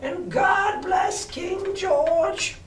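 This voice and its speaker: A man's voice: very high voice, steady tone, extremely slow, fairly bright tone, pitched above the performer's own voice, exaggerated voice. Man acting elderly blind beggar, storybook villain, sly, cruel, commanding, noisy roomy sound. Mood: wheedling sing-song. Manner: odd sing-song wheedle that turns to hard commands. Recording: roomy, distant mic with background noise.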